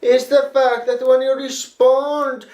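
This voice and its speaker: High-pitched, slow man lecturing bluntly. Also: little pitch movement, darkish tone, roomy sound. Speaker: video critic. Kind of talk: reading a prepared response video.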